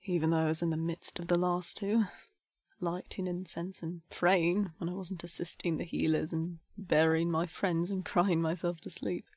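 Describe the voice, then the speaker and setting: A woman's voice: lowish voice, level tone, moderate pace, darkish tone, no room sound. Woman acting as disillusioned young priestess, bitter, defiant, articulate, audio drama, dry close sound. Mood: weary, grieving. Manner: formal, articulate, impassioned. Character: disillusioned nineteen-year-old priestess, defiant, grieving, bitter.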